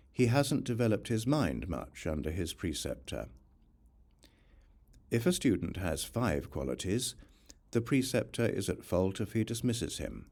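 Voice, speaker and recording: A man reading scripture as a scripted audiobook narration, in a measured, formal reciting manner. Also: low voice, a little mellow.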